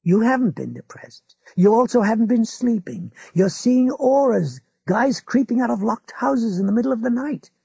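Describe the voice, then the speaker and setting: An older man presses his friend with insistent, lecturing reasoning, as a reader puts him on. His voice sits raised and husky, with a gravelly edge. It is close and dry. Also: mid-range voice, lively intonation, normal speed, few pauses, mellow, dry recording, pitched above the performer's own voice, heavily acted. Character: older man, pushy rational neighbor. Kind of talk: audiobook character voice.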